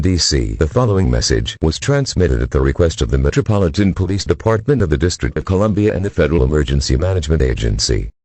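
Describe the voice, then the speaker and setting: A man with an extremely low, husky voice reads scripted emergency alerts. His formal, synthetic-sounding delivery moves noticeably up and down in pitch. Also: darkish tone, dry recording.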